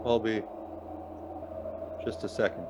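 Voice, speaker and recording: A man's voice: on the low side, steady tone, takes it slowly, a little mellow, slight background noise. An actor in a radio play voicing an anxious, self-deprecating man stranded in a snowstorm. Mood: shaken. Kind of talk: naturalistic radio play acting.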